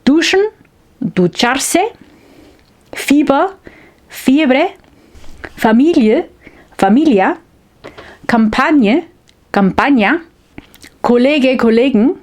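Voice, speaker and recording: A woman's voice: a little high, a little mellow. A woman in her thirties who grew up speaking Spanish and English, hosting her own vlog in a chatty, upbeat storytelling way. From United States. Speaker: vlog host and author. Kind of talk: casual vlog talk to camera.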